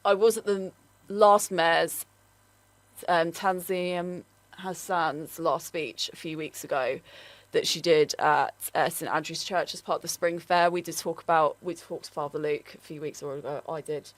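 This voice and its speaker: A woman's voice: deep voice, fairly even intonation, measured pace, dry recording. A woman presenting a local radio show, talking in a chatty way with plenty of ums and likes. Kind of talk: casual radio chat.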